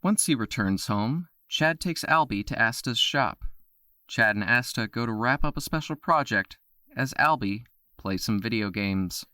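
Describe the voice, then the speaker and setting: A man's voice: mid-range voice, lively intonation, unhurried, bright, forceful tone, dry recording, clean studio sound. A man narrating an audio drama from a script in a storytelling role.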